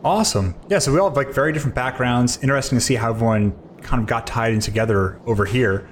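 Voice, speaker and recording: A man's voice: mid-range voice, fast, a little hiss or hum. A man hosting a podcast interview, casual and enthusiastic, often amazed by his guests. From United States. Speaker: podcast host.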